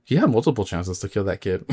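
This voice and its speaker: A man with a fairly low voice tells a story in a casual, conversational way. His delivery is informal and halting, padded with filler words. The sound is very dry, with no room around it. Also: big pitch swings, dark tone, slightly rough, no echo. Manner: informal, halting, filler-heavy with acted-out quotes. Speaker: podcast host.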